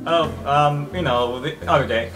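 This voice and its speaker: A man's voice: on the high side, moderate pace. A man improvising casual in-character lines as a tabletop game player. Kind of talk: improvised roleplay. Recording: clear music behind voice.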